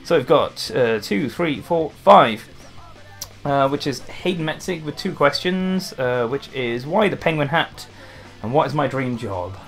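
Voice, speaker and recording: A man's voice: a little high, animated, unhurried, faint music behind. A young man from Wales with a light Welsh accent, chatting casually to camera as a gaming YouTuber answering questions, rambling with restarts and fillers.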